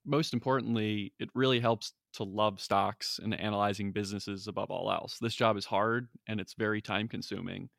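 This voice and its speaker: Eager young man with a low voice. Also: steady tone, moderate pace, creaky, hardly any pauses, a bit bright, no echo, studio quality. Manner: enthusiastic, conversational. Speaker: research analyst guest. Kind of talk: interview answers.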